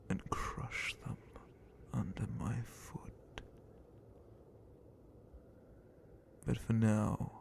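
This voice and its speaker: An ancient male dragon captain played by a man who looms over a captive in an ASMR roleplay. He speaks in a very slow, breathy whisper edged with gravelly creak. The delivery is possessive, condescending and theatrically menacing. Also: mid-range voice, a little mellow. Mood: darkly amused, possessive.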